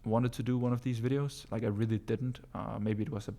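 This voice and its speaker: A Danish man whose first language is Danish, talking casually in a live Q&A about business, often calling his listeners bro and filling pauses with um and uh. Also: medium pitch, fairly even intonation, mellow. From Denmark. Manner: casual, calls listeners bro, many ums and uhs. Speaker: business coach answering viewer questions.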